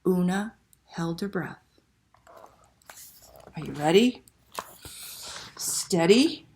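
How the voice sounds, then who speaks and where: A woman telling a picture-book story to children in a dramatic, expressive read-aloud style. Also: on the low side, very slow. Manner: dramatic, animated delivery with hushed passages and exclamations.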